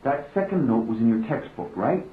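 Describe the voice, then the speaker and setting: An actor playing a protective, hot-headed cab-driving husband in a naturalistic radio drama, muttering dryly and turning insistent. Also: male voice, mid-range voice, lively intonation, fairly quick, natural voice. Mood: insistent, suspicious. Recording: tinny band-limited radio recording, music behind.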